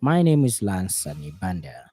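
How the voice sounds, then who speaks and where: A man's voice: sits low, very animated, moderate pace, dark tone, faint music behind. A man with a Zambian accent reading a scripted radio news bulletin as the presenter. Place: radio studio in Lusaka.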